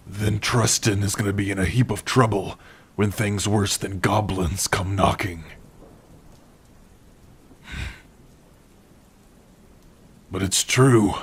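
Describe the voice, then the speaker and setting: A man playing a gentle, protective orc blacksmith in an ASMR roleplay, speaking tenderly and without hurry to a beloved listener. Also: fairly low pitch. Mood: protective, resolute. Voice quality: husky. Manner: unhurried, tender, with soft sighs and hums. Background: rain.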